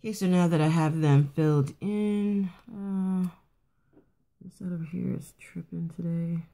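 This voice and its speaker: A woman with a raspy morning voice casually talking through a quick makeup routine, rambling a bit with fillers and restarts. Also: fairly low pitch, fairly even intonation, warm tone. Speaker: beauty vlogger. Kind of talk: casual makeup tutorial chat. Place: at home getting ready for work.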